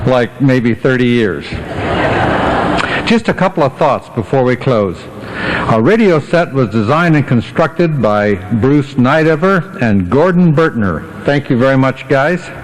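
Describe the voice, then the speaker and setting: An American man works as emcee at a live stage show. His mid-pitched voice gives casual, folksy announcements with dry humor, over clearly audible background noise. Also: lively intonation, measured pace. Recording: noisy live stage recording.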